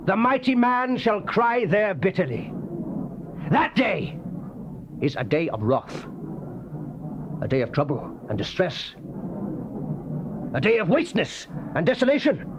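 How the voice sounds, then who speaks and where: A fiery prophet of doom in a dramatized scripture recording. The voice is high and swings widely in pitch, declaiming solemnly and theatrically. It comes from a muffled old cassette with clear noise. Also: male voice, very animated, a little slow, pauses often. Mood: solemn, urgent. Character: fiery male prophet proclaiming God's wrath and doom. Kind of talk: dramatized scripture reading, archaic King James English.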